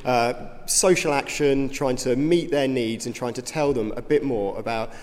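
Slightly hoarse man in echoing church. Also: mid-range voice, measured pace, a little mellow. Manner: informal, earnest, with fillers. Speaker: youth ministry director. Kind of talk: church talk. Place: church hall. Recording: reverberant hall.

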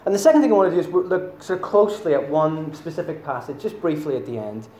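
A man with a Northern Irish accent preaches in a loose, chatty way, full of ums and self-deprecating asides. His voice sits slightly higher than most men's. He is heard in a roomy church with a faint hum of ambience behind him. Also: animated, quick pace, mellow. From Northern Ireland. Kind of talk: sermon. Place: church hall.

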